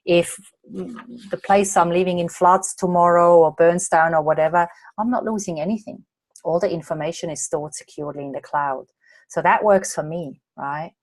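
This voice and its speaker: A slightly hoarse woman from Australia, a coach answering viewer questions in a chatty way during a live online masterclass late at night. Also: on the low side, steady tone, moderate pace, dry recording. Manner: chatty, reads out viewer comments and answers them. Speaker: coach and presenter.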